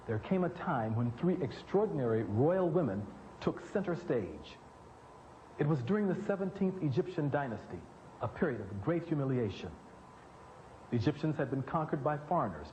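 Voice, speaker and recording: A man's voice: on the high side, sing-song, moderate pace, darkish tone, dull audio, a little hiss or hum. A man presenting scripted history narration formally, with dramatic emphasis.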